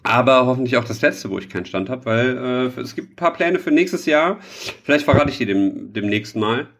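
A man's voice: medium pitch, a little fast, fairly bright tone. Playful man chatting as podcast co-host. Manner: joking, playful. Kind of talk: casual banter chat.

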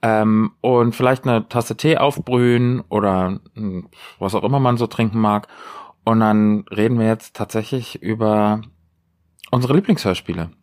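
A man's voice: fairly low pitch, fairly even intonation, measured pace, a little mellow. A man chatting casually as a podcast co-host, rambling along in a chatty way. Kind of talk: casual chat.

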